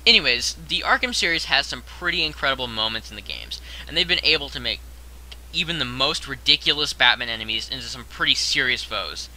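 Bright, animated young man hosting a countdown. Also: mid-range voice, fairly quick, low-quality recording. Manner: conversational, addresses the viewer with rhetorical questions. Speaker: countdown video host. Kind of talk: scripted top-ten list narration.

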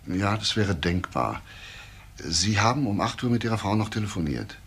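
An actor playing a probing, matter-of-fact police chief inspector in a radio crime drama, asking terse questions. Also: male voice, lowish voice, very animated, normal speed, gravelly, fairly bright tone, slightly rough, heavily acted. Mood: quiet, probing. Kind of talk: naturalistic German radio crime drama acting.

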